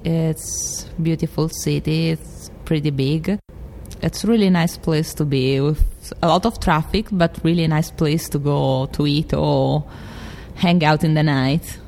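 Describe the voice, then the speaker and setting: A young woman from Rome with an Italian accent, introducing herself casually and pausing to search for words. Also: deep voice, warm tone, a little hiss or hum. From Rome, Italy. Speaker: graduate student introducing herself.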